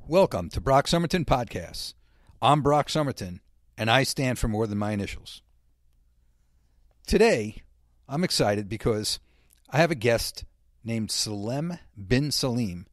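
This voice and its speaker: A man's voice: medium pitch, expressive, a little slow, long pauses, dry recording, clean studio sound. A man hosting a scripted comedy interview, throwing out wisecracks and sarcastic questions. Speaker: host.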